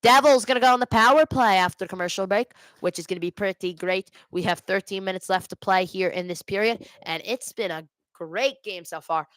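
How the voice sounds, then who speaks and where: Clear-voiced woman calling hockey live. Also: mid-range voice, brisk, hardly any pauses, a bit bright, no echo, rough recording. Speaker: hockey play-by-play commentator hosting a watch party. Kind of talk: live amateur sports commentary with casual chat. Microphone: headset.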